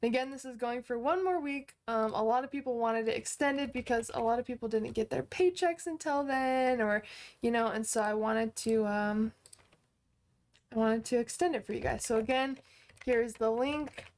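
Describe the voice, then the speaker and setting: A woman's voice: on the high side, normal speed, a little mellow. A woman in her twenties hosting a casual livestream, chatting upbeat with viewers, full of fillers and restarts. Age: 20s. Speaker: livestream host and online course teacher. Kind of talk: casual livestream chat, answering viewer comments.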